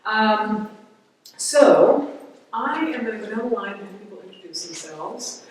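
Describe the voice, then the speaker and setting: A woman's voice: mid-range voice, expressive, unhurried, a little mellow. A woman moderating a panel discussion in a casual, chatty way, with many fillers. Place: large event hall with an audience. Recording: echoey hall from far off, faint noise.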